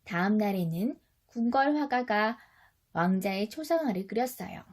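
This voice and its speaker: A woman's voice: medium pitch, expressive, moderate pace. A woman reading a children's story expressively as a mother would, speaking directly and instructively to a small child.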